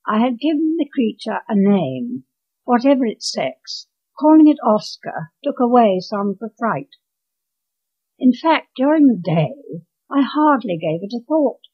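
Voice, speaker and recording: A woman in her nineties with an English accent reads her own story aloud in measured, deliberate phrases. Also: fairly high pitch, big pitch swings, husky. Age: 90s. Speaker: author reading own story. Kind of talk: scripted storytelling, read aloud. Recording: dull lo-fi home recording.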